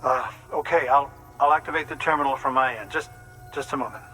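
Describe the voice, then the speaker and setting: An actor playing a reassuring, slightly flustered doctor in a video game, explaining earnestly with some hesitant starts. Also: male voice, medium pitch, a little fast, sharp tone, faint music behind, close to an everyday voice, poor audio. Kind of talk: dramatic video game acting.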